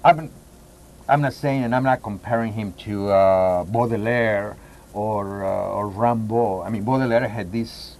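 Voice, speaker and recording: A man talks casually as an interview guest, haltingly, restarting phrases as he searches for words. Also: on the low side, darkish tone. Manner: halting, searching for words with repeated false starts. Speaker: guest, poetry reader. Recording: clear background noise and ambience.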